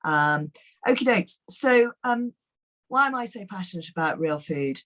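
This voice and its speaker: A middle-aged woman with a croaky, hoarse voice, giving an enthusiastic, chatty webinar talk with frequent fillers. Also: mid-range voice, lively intonation, measured pace, fairly bright tone. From England. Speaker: guest nutrition expert.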